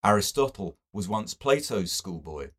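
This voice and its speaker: A man's voice: fairly low pitch, sing-song, moderate pace, fairly bright tone. A man reading a novel and voicing a clever young intellectual, sneering and excessively polite. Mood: icily polite.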